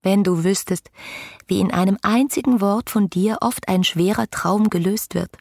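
A young woman pouring out love letters in a radio play. Her voice is breathy and husky, close and dry, often dropping into a whisper. She speaks with fervent, poetic devotion and lonely longing. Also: mid-range voice, normal speed, a little mellow, no room sound. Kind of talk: literary radio drama monologue.

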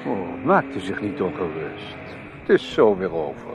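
An actor playing a polite, soothing abductor in a radio play. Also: male voice, deep voice, big pitch swings, unhurried, full character voice. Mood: falsely soothing. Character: smooth-talking abductor soothing his drugged female victim. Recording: dull recording with loud car noise.

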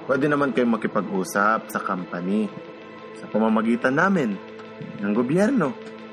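A man's voice: fairly low pitch, expressive, moderate pace, music in the background, lightly acted. A man playing a stern, threatening military officer lecturing workers in a radio drama, with an authoritative, admonishing manner. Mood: firm, condescending.

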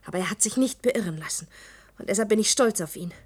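An actress in a 1960s German radio drama playing a missing doctor's determined daughter, earnest and insistent. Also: female voice, medium pitch, lively intonation, quick pace, slightly rough. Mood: earnest, urgent.

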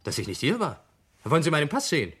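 Self-assured rich heir in a radio crime play, defensive, emphatic, kinda indignant. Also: male voice, a little high, wildly swooping pitch, fast talker, a bit bright, breathy edge, exaggerated voice.

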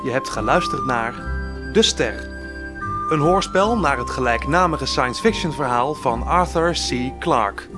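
A man reading the closing credits of a radio play in a measured, formal way. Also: medium pitch, lively intonation. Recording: announcement over clear music.

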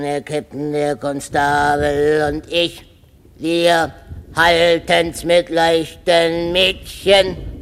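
A boozy, self-pitying servant in a comic radio play. His words are extremely drawn out and pitched a little high, slipping toward crude song. Long hall reverb trails the voice. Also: a bit bright, full character voice. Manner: drunken, drawn-out, breaking into crude singing. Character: Stefano, boozy, bawdy, self-pitying male royal butler. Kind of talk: German radio play acting, comic drunkard.

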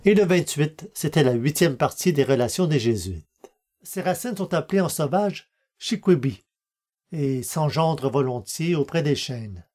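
A man's voice: on the high side, animated, normal speed, darkish tone. A man hosting a podcast, reading scripted narration and announcements. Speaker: podcast host and reader.

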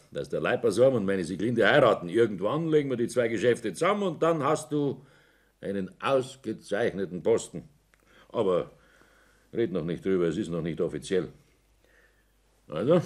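An actor with a Bavarian accent playing a jovial, scheming businessman in a 1960s German radio play. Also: medium pitch. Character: jovial, scheming businessman father guarding his reputation.

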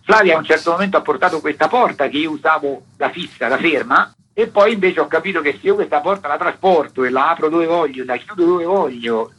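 A man being interviewed as a theatre performer, explaining his work emphatically in long, wordy sentences with a husky voice. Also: a little high, fairly bright tone, poor audio. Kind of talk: reflective interview talk. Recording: radio interview broadcast.

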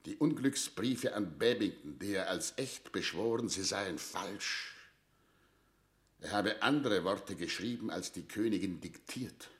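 A man's voice: on the low side, animated, measured pace. An actor playing a grave, loyal, troubled nobleman in a classical radio drama, speaking solemnly and rhetorically. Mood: agitated narrating. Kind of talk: classical stage-play radio acting.

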